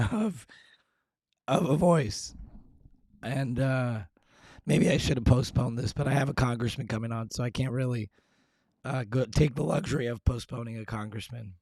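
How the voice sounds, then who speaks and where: A man hosting a live stream, his voice hoarse and raspy, talking low and clearing his throat, making fun of how he sounds. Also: a little mellow, dry recording, studio quality. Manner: self-deprecating, frequent throat clearing. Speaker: show host. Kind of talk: casual live-stream chat.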